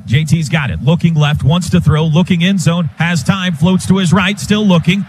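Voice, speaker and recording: A man's voice: fairly high pitch, normal speed. An American man calling football live on the radio in clipped phrases that follow the action. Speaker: radio play-by-play announcer. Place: stadium radio booth. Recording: loud stadium noise behind voice. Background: loud stadium ambience.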